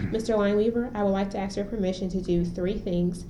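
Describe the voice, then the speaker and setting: A woman's voice: medium pitch, steady tone, brisk. A woman conducting a formal oral history interview, reading a structured preamble before asking questions. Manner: reads a formal preamble, then short acknowledgments. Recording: roomy echo, faint noise.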